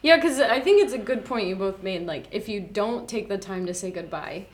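A woman's voice: mid-range voice, lively intonation, moderate pace. A young adult woman, a teacher, talking earnestly and thoughtfully in a casual podcast chat, often acting out her own remembered thoughts. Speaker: teacher guest.

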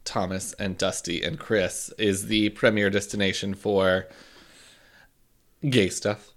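A man's voice: on the low side, level tone, takes it slowly, a bit bright. A man co-hosting a podcast, teasing his partner with dry humor in casual banter. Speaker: podcast co-host.

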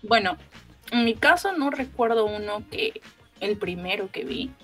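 A woman's voice: a little high, sing-song, unhurried, over music. A young woman, chatting casually as a podcast co-host. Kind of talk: casual group chat.

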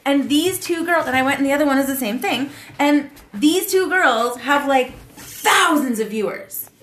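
A woman in casual chat who gets loud and animated when excited. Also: high-pitched, moderate pace. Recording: echoey room.

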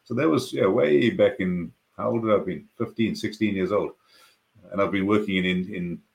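A man in his forties with a South African accent, a podcast guest explaining his business background in a measured way. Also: low-pitched. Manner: measured, explanatory, sprinkled with "you know". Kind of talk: podcast interview.

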